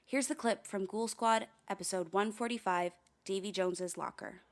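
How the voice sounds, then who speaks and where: A woman's voice: medium pitch, normal speed, close to an everyday voice. An actress as a blunt, dry female officer. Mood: dry, uneasy. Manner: dry, curt, sardonic. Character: blunt, no-nonsense female coast guard officer narrating her investigation. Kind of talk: radio drama first-person narration.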